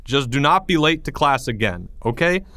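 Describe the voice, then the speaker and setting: A man's voice: medium pitch, animated, normal speed, sharp tone, no room sound. A man doing a weary, firm schoolteacher. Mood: stern. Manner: firm, reasoning, mildly weary. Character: patient, exasperated male schoolteacher.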